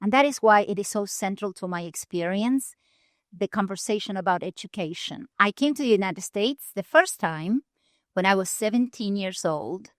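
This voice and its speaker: A woman from Argentina, a nonprofit leader telling her story in an earnest, measured way with deliberate pauses. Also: mid-range voice, animated, dry recording, clean studio sound. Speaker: guest, nonprofit CEO. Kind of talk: storytelling interview answers. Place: conference venue.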